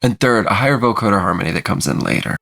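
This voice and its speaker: A man's voice: fairly low pitch, animated, quick pace, gravelly. A man narrating a scripted music production tutorial, walking through each step in order. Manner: explanatory, step-by-step.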